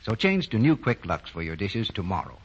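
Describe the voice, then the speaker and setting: Low-voiced 1940s American radio announcer, swooping delivery. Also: male voice, wildly swooping pitch, brisk, husky, highs cut off. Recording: noisy, band-limited 1940 radio broadcast.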